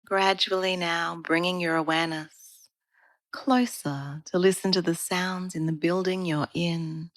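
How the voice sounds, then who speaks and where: Slow, low-voiced woman guiding meditation. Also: dry recording. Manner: calm, soothing, unhurried with long pauses. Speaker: yoga therapist guiding a relaxation. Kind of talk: guided meditation narration.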